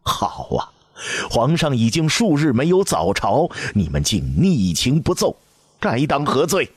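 A furious old empress dowager from a storybook drama, put on by a male narrator. Her commanding voice sits high and husky, leaping sharply between lines. The sound is dry and close. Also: sing-song, moderate pace, darkish tone, no room sound. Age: older. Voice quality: commanding, scolding.

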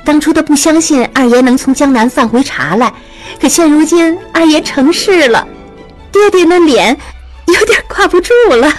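A playful young mother chides her father, as a woman acts her out. Her pitch sits high and bounces widely over faint music. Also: animated, a little slow, fairly bright tone. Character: young mother, affectionate and teasing.

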